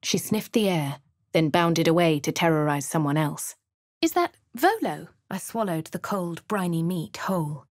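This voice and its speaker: A woman's voice: lowish voice, animated, normal speed, no echo, studio quality, close to an everyday voice. A woman playing a posh lady adventurer. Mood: dry, composed. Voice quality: crisp upper-class English diction. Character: posh refined female adventurer narrating. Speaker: player character. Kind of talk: game voice lines.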